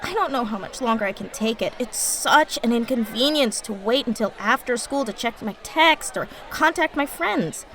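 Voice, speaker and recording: High, lively, sassy schoolgirl. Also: normal speed, hardly any pauses, a little hiss or hum. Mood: indignant. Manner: wry, dramatic. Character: sassy, skeptical twelve-year-old schoolgirl. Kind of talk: scripted children's audio drama.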